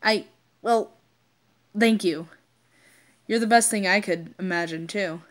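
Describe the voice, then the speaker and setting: A woman's voice: medium pitch, slow pace, lightly acted. Woman reading as dry, teasing young man. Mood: bashful.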